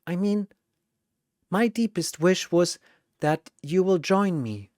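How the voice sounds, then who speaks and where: A narrator voicing a gentle, brave, sad little girl with a soft, steady manner in an audio story. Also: medium pitch, takes it slowly, dry recording, clean studio sound, pitched above the performer's own voice. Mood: gentle resolve.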